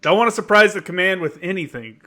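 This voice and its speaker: A man's voice: high-pitched, expressive, fast, sharp tone. A man hosting a podcast who once served as a Marine mechanic chats casually and drops in short reactions. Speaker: podcast host, former Marine. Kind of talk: casual interview chat.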